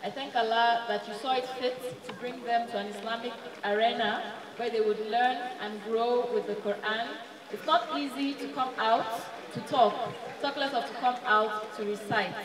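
A woman's voice: on the high side, steady tone, normal speed. Echoey Nigerian woman addressing a gathering. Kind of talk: public speech at a ceremony. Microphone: handheld microphone. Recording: echoey, distant, crowd behind.

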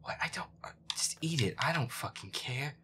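A man's voice: medium pitch, animated, moderate pace, bright, forceful tone. Irritable man muttering in a half-whisper. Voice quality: breathy, often half-whispered. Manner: irritable, heavily swearing, muttering. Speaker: gamer playing in character. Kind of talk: horror game commentary, muttering to himself.

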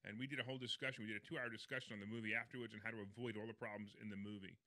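A man co-hosting a casual radio show, talking quickly with a playful, teasing manner. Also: lowish voice, steady tone, sharp tone, dry recording. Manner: fast, jokey, playful teasing.

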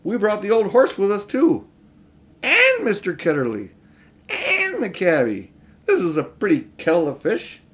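A brisk young girl played by a male reader calls out in a lifted voice. She is bossy and urgent, exclaiming her way through a storybook adventure. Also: sits high, huge pitch swings, fairly bright tone, pitched above the performer's own voice, full character voice. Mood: excited alarm.

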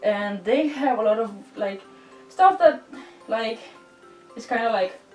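A woman's voice: on the high side, measured pace, darkish tone. Giggly young Danish-accented woman, roomy bedroom. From Denmark. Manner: bubbly, giggly, rambling with many "like"s. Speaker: vlogger. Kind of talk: casual chat while decorating. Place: bedroom, indoors. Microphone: far camera. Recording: roomy, distant, with music faint behind.

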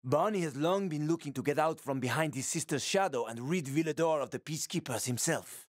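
A man's voice: fairly high pitch, a little fast, no echo, studio quality, lightly acted. An actor playing a wry, streetwise male survivor and former cab driver in a video game, colloquial and sweary, with an urgent manner. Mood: grave warning. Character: wry, streetwise male ex-cabbie survivor, fatherly yet shifty. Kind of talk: video game character dialogue.

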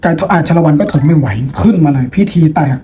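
A man with a soft, mellow voice gives an informal lesson as if telling a story to his students. He is chatty and playful and likes to ask questions he answers himself. There is some steady noise behind him. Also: a little high, fast talker. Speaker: teacher and host of a lesson video. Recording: noticeable background noise.

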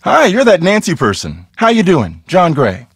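An actor playing an earnest, self-serious American paranormal investigator in a video game, explaining things intensely. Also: male voice, high voice, wildly swooping pitch, normal speed, fairly bright tone, slightly rough, no echo, full character voice. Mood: casual.

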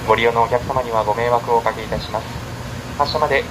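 Male train conductor, mid-pitched, formal and polite onboard announcement, heard through PA speakers amid heavy vehicle noise. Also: fairly even intonation. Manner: formal, polite, measured phrasing. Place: inside a train carriage, over the announcement speakers. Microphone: camera picking up overhead PA speaker.

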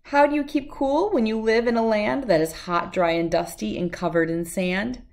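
A middle-aged woman reads a rhyming children's picture book aloud in a measured, sing-song way. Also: medium pitch, fairly even intonation, gravelly, darkish tone.

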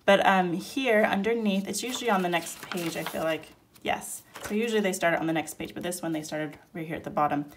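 A woman with a slightly low voice sounds stuffed-up and nasal from a cold as she talks through material at her table. Her delivery is relaxed and chatty, with a faint hiss behind her. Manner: relaxed, chatty, explaining step by step. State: sick, nasal. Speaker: homeschool curriculum reviewer. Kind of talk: casual product flip-through. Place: indoors at a table, hands-only overhead camera.

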